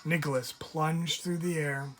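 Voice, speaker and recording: A man, a teacher, reading a picture book aloud in an expressive storytelling style, stressing key words. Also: a little high, a little slow. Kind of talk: expressive read-aloud narration for children. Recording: music under the voice. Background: music.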